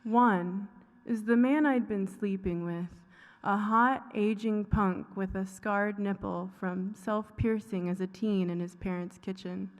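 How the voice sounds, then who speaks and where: A woman giving a dramatic reading of a short story, with deliberate, pausing phrasing. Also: medium pitch, a little mellow. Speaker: fiction writer reading own work. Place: reverberant hall at a live public reading. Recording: echoey hall with long reverb.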